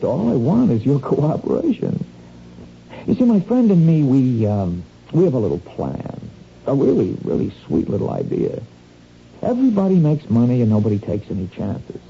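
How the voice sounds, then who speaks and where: An actor playing a sly small-time crook in a radio drama, wheedling and insinuating in folksy, slangy talk. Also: male voice, medium pitch, wildly swooping pitch, normal speed, vocal fry. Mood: coaxing, sly. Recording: dull, noisy lo-fi radio recording.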